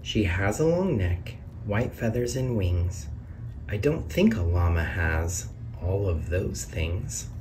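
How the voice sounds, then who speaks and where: Slow, mellow man reading a picture book. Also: very animated, far from the mic, a little hiss or hum, low-quality recording. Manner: sing-song, lifts pitch on the questions and the big reveals. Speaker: read-aloud narrator. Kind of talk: children's picture book read-aloud. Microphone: camera over the book, hands on pages.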